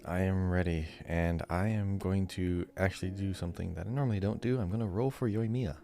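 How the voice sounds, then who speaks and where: A man with a deep voice and plenty of vocal fry co-hosts a casual podcast. He talks in an informal, chatty way, dropping in short asides as he goes. Music plays clearly underneath his voice. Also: fairly even intonation, brisk, mellow. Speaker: podcast co-host. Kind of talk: casual podcast chat, reading listener answers and commenting.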